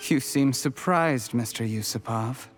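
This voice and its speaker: An actor playing an ambitious, resentful video game official who speaks in a measured, formal and deliberate way. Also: male voice, mid-range voice, over music, close to an everyday voice. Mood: bitter. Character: ambitious, deferential male official turned cold, resentful schemer.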